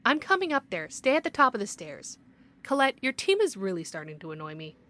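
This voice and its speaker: A woman playing a stern, by-the-book city investigator in an audio roleplay, speaking in a measured, authoritative, admonishing way. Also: medium pitch, sing-song, a bit bright. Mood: annoyed, commanding.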